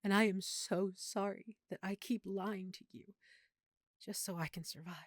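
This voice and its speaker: A woman playing a lovesick, guilt-ridden vampire girlfriend in an intimate audio roleplay, speaking tenderly and confidingly with dramatic pauses. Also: mid-range voice, steady tone, no echo, clean studio sound, lightly acted. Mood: guilty, tender. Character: lovesick, guilt-ridden female vampire hiding her nature from boyfriend.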